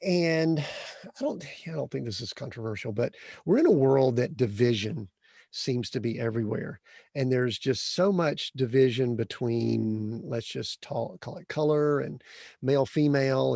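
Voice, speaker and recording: A man hosts a podcast conversation in a gravelly, fry-edged voice. He asks earnest questions that wander and restart. The sound is dry and close to the mic. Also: medium pitch, unhurried, a little mellow, dry recording. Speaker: podcast host.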